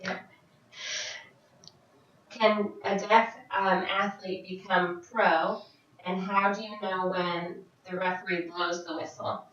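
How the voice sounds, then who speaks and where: A woman voicing a signed question-and-answer vlog as an interpreter, speaking in short, halting phrases with frequent restarts. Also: medium pitch, steady tone, fairly bright tone, slight background noise. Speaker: sign language interpreter voicing a Deaf vlogger. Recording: very echoey, distant, dull sound.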